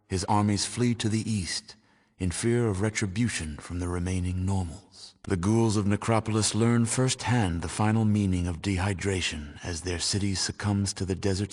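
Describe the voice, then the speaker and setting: Deep, solemn doomsday narrator. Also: male voice. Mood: grave, solemn. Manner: solemn, measured, portentous. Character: grave male storyteller recounting a post-apocalyptic world's fate. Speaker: narrator of a game's endings. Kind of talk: scripted dramatic narration of an epilogue. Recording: long reverberant hall echo.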